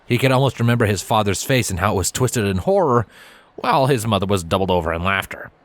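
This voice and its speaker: A man's voice: on the low side, lively intonation, quick pace, no room sound. A man reading scripted story narration as the narrator.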